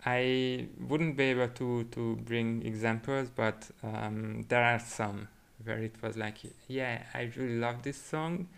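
A man from Hungary with a Hungarian accent, chatting reflectively as a podcast guest and thinking aloud in a halting way. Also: medium pitch, steady tone, creaky, clear, resonant tone. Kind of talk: casual reflective chat.

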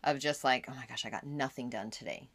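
A woman's voice: a bass voice, fast talker, fairly bright tone, no echo. A mother co-hosting a podcast, chatting in an agreeable, affirming way. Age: middle-aged mother. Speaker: podcast co-host. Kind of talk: casual podcast chat.

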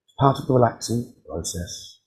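A man leading a guided meditation as a teacher, giving calm, soothing instructions with frequent pauses. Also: mid-range voice, poor audio.

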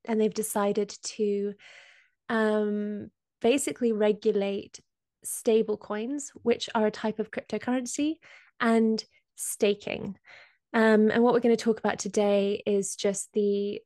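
A woman with a slight cold, explaining finance topics in a casual podcast chat with many pauses and ums. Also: medium pitch, little pitch movement, mellow, no room sound, clean studio sound. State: has a cold. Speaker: co-host explaining crypto.

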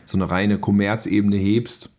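A man's voice: fairly low pitch, level tone, moderate pace, a little mellow. A man chatting casually on a film podcast in a loose, colloquial way, often tagging his remarks with short questions. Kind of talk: casual chat.

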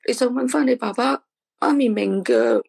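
Gravelly hk mom, played by a woman, pleading and emotional, radio play. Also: a little high, moderate pace, a little mellow, full character voice. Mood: remorseful. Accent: Hong Kong Cantonese. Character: anxious single mother, remorseful, doting. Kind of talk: radio drama acting.

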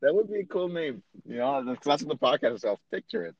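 A man's voice: mid-range voice, animated, fast, no room sound, lo-fi sound. A man chatting casually with a friend as podcast co-host, joking, boastful and swearing freely. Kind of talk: casual chat between friends. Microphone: remote call mic.

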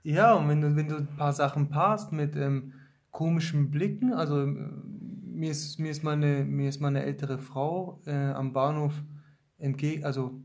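A man's voice: on the high side, unhurried, a little mellow. A man hosting a casual conversation who speaks hesitantly, with many fillers. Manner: hesitant, lots of ähm fillers, affirming.

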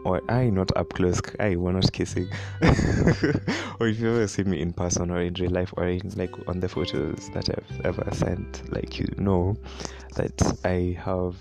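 A young Kenyan man with a deep voice and a Kenyan accent, chatting casually on his own podcast, rambling with many fillers and frequent laughs. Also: a little slow, vocal fry, music in the background. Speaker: podcast host. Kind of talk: casual solo podcast chat.

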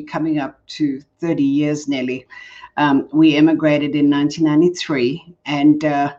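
An older South African woman with a notably low voice talks through her work in a relaxed, measured way, often breaking into laughter. The sound is a little dull and lo-fi. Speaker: guest, leadership coach. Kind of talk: casual interview chat.

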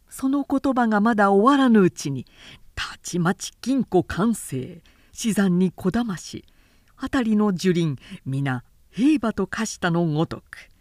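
Slow, dramatic woman storyteller. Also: medium pitch, no room sound, studio quality. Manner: deliberate, expressive, precise diction. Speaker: narrator. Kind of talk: dramatic literary audiobook narration.

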